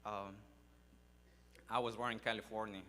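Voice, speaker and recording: A man who grew up in Mexico, telling his life story in a halting speech full of fillers and pauses. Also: lowish voice, level tone, sharp tone, big-room sound, a little hiss or hum. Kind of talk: personal testimony speech. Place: large hall at a public event.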